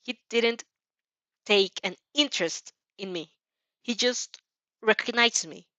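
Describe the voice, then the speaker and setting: A woman's voice: medium pitch, slow, bright, forceful tone, no echo. Nervous, stubborn schoolgirl, acted by a woman, hesitant and defensive, dry, slightly dull sound. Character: nervous, defensive teenage girl student, stammering and stubborn. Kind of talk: amateur fan fiction character reading.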